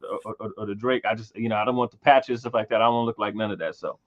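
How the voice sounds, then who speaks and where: A man in his thirties hosting a casual livestream chat, talking haltingly with many fillers and repeated words. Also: lowish voice, steady tone, muffled recording. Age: 30s. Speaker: livestream host.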